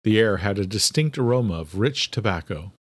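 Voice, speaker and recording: A man's voice: fairly low pitch, lively intonation, a little fast, no echo. A man narrating a thriller audiobook from a script.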